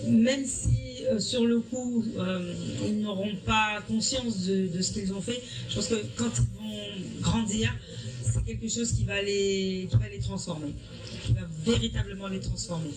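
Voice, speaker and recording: A woman's voice: mid-range voice, unhurried. A middle-aged woman from Africa, a teacher answering interview questions earnestly and often restarting her sentences. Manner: earnest, explanatory, with frequent restarts. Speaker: history-geography teacher. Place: evening school event. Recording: distant mic with noisy room.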